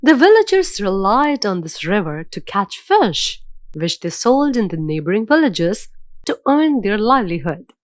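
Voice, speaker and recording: A woman narrating a scripted children's fairy tale in a measured, dramatic storytelling manner. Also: mid-range voice, big pitch swings, no echo, studio quality.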